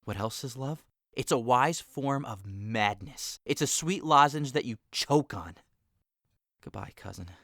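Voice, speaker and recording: A man's voice: mid-range voice, expressive, a little slow, a bit bright, no echo, studio quality. An actor playing a lovesick young man in an audio drama, sighing and wistful, breaking into passionate outbursts. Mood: melancholy.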